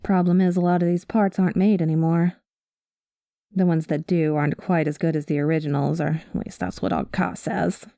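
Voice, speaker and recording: A woman playing a cynical, guarded space smuggler with a soft spot, talking to the listener in a wry, world-weary way in an ASMR roleplay. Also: lowish voice, steady tone, a little fast, gravelly, dry recording. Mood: wry, confiding.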